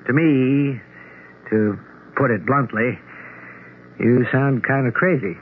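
A man's voice: mid-range voice, slow pace, close to an everyday voice. A man acting a blunt, skeptical friend with a dry, sardonic manner in a 1970s radio drama. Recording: tinny, noisy old radio broadcast.

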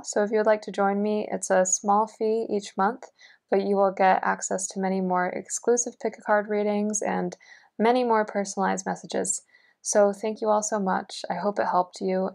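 A woman's voice: mid-range voice, darkish tone. A young woman giving a tarot reading in an earnest, encouraging way, her voice a little worn. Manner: earnest, encouraging, lots of fillers. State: losing her voice. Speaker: tarot reader. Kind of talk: pick-a-card tarot reading. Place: indoors at a table, only hands seen.

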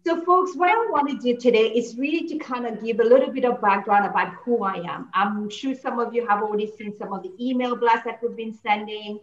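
A woman in her forties from Mauritius with a French-accented English, speaking earnestly and passionately as a political candidate on a video call from a home office. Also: a little high. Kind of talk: campaign talk on a video call. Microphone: video call webcam. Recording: echoey, dull lo-fi call audio.

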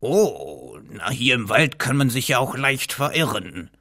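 A man's voice: mid-range voice, sing-song, sharp tone, no room sound, clean studio sound, heavily acted. A man voicing a sly, hungry big bad wolf in a children's cartoon fairy tale, coaxing and wheedling. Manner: slow, smooth-talking, wheedling.

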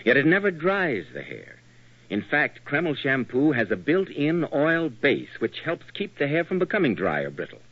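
A man's voice: fairly high pitch, wildly swooping pitch, normal speed, husky, no room sound. A man announcing a 1940s radio commercial in a polished, salesmanlike way. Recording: dull old radio recording.

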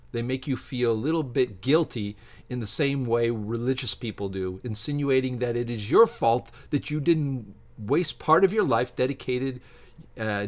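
A middle-aged man reads aloud haltingly from a book and breaks off to give his opinionated, informal commentary as a channel host. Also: medium pitch. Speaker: YouTube science channel host. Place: small home office with bookshelves, indoors.

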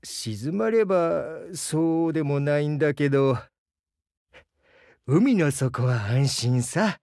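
A man playing a gentle, bashful crocodile diving instructor in a Japanese game, earnest and hesitant, easily flustered. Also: a little high, animated, slow, no room sound, studio quality. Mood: calm, friendly. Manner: soft-spoken, hesitant, earnest, stammers when flustered. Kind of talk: Japanese game character voice lines.

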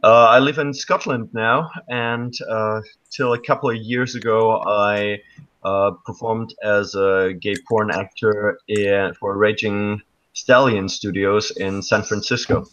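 German guy, lowish voice, stops and restarts a lot, muffled laptop call audio. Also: fairly even intonation, slow, a bit bright. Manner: hesitant, many ums and restarts. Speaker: guest. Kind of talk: casual interview chat. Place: dark room at night.

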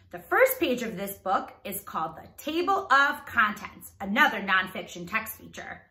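A woman's voice: on the high side, bright, forceful tone, reverberant. A woman reading aloud as a teacher to young children, enunciating carefully and prompting them with questions.

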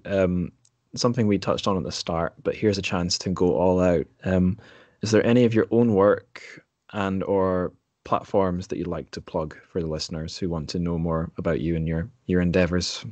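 A man's voice: low-pitched, moderate pace, a little mellow, no echo. A man hosting a podcast in an informal, rambling, self-deprecating way. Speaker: podcast host. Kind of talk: casual podcast chat.

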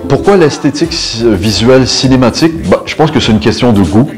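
A middle-aged man talking about himself in an interview, hesitating and pausing between short phrases. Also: medium pitch, lively intonation, darkish tone. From Canada. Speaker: videographer, photographer and musician being interviewed. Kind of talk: interview self-portrait. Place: indoor photo studio. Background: background music.

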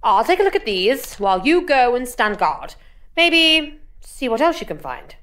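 A teasing young journalist. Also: female voice, fairly high pitch, very animated, a bit bright. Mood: playful. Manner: teasing, playful, quick-witted. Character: cheeky young female journalist. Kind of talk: audio drama acting.

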